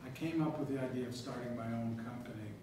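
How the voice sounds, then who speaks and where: A man's voice: mid-range voice, fast, far from the mic, background noise. Middle-aged guy professor chatting to a small group, laid back, recorded from across a big echoey room. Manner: relaxed, chatty, self-deprecating asides. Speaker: economics professor leading a workshop. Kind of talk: casual talk to a small group. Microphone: recorder far from the speaker.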